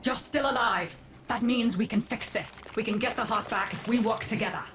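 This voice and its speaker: A woman's voice: a little high, moderate pace, close to an everyday voice. A woman playing a firm, commanding mother in a video game cutscene, giving urgent direction to her family. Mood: resolute. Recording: loud music behind the voice.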